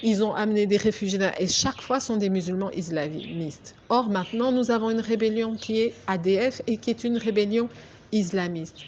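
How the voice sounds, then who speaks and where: A woman's voice: medium pitch, fairly quick, a little mellow, a little hiss or hum. A woman from Congo, giving an emphatic, pleading political monologue as an activist, often asking her listeners "ok?". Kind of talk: political monologue addressed to viewers. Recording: dull lo-fi recording.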